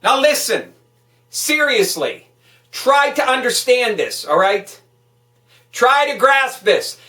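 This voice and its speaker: A woman's voice: a little high, big pitch swings, takes it slowly, bright, forceful tone. A woman delivering an angry political rant, emphatic, hectoring and full of swearing. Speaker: political vlogger. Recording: echoey room, distant microphone.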